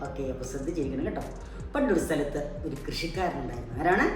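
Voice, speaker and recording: A woman's voice: deep voice, lively intonation, quick pace, darkish tone. A woman hosting a puppet show and telling a story to children in a teacherly way, with questions and repeated key words. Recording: very echoey, distant mic, background music.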